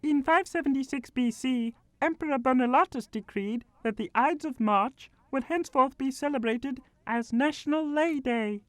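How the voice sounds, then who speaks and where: A woman playing a prim, pedantic lecturer, giving a mock lecture in a formal, scholarly deadpan. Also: high-pitched, moderate pace, hardly any pauses, no room sound, natural voice.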